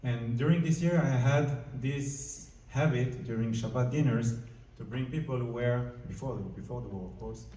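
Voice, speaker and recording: A man's voice: mid-range voice, normal speed, hardly any pauses, warm tone. Man speaking in an echoing hall. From France. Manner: earnest, informal, searching for words. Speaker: diplomat. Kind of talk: speech to a live audience. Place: large community hall. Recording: roomy hall, mic at a distance.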